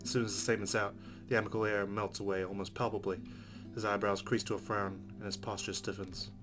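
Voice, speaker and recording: A man's voice: fairly low pitch, flat delivery, fast. A man hosting a let's play, reading visual novel narration aloud. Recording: prominent guitar music behind the voice.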